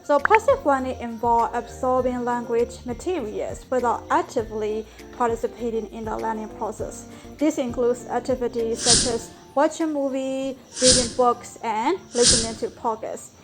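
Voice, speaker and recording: A woman's voice: fairly high pitch, moderate pace, music in the background. A young woman with a Burmese accent, a native Burmese speaker, explaining language learning in an earnest, scripted vlog lesson with frequent "so" and "like".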